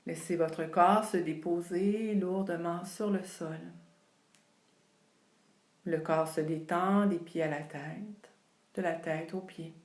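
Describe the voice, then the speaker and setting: A woman leading a guided yoga relaxation, speaking calmly and soothingly with long pauses between phrases. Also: on the low side. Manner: calm, unhurried, soothing delivery with long pauses. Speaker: yoga nidra instructor. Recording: roomy, echoing sound.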